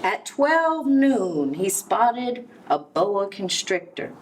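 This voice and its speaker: A woman reading a storybook aloud to young children, expressive, with explanatory asides. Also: mid-range voice, very slow. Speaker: Head Start center director reading to children. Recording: distant mic, clear background noise.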